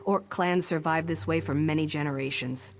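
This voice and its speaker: An actress playing a young orc chief's daughter in a video game, earnest and measured, torn between duty and longing. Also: female voice, low-pitched, fairly even intonation, a little hiss or hum, natural voice. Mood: resigned. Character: young orc chief's daughter, dutiful but yearning for freedom. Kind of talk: video game dialogue acting.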